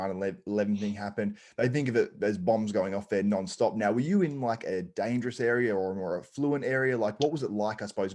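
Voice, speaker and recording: A man's voice: lowish voice, a little mellow. A man hosting an interview show, asking long rambling questions full of hedges. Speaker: interview host. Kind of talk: podcast interview.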